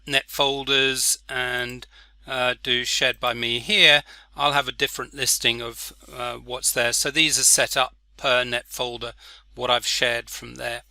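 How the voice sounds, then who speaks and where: A man casually walking through a software interface, with frequent "uh" and "um" fillers. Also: medium pitch, no echo. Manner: relaxed, many "uh" and "um" fillers. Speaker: software demonstrator. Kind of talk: screen-recorded software walkthrough.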